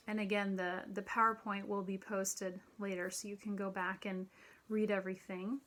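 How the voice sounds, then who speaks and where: A middle-aged woman giving a recorded lecture from a room at home, speaking deliberately with frequent ums and the occasional throat clearing. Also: medium pitch, level tone. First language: English. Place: home room with children's drawings on the wall.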